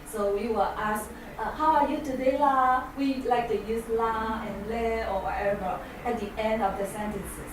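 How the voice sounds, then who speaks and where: A woman's voice: a little high, steady tone, normal speed, hardly any pauses. A Singaporean woman whose first language is Chinese, giving a talk to an audience in English, with many hesitant fillers. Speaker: guest speaker. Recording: echoey hall from far off, noisy.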